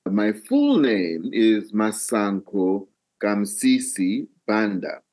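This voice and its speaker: An older man with a Malawian accent, telling his own story as an interview guest in a deliberate, phrase-by-phrase way. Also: fairly low pitch, sing-song, a little mellow. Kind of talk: reflective personal storytelling in an interview.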